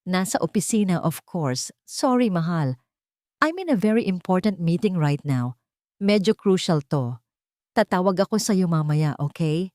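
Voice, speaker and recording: A woman's voice: lowish voice, expressive, measured pace, darkish tone, no room sound, clean studio sound. A woman doing a sweet-talking lying wife. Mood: sweetly evasive. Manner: sweet, professional, mixing English into Tagalog.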